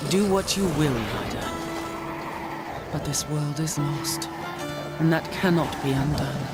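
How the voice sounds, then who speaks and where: A woman's voice: low-pitched, slow. A woman playing a proud, weary warrior angel commander in a video game, speaking in a solemn, stern and formal way. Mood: resolute, stern. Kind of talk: dramatic video game acting. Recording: music behind the voice.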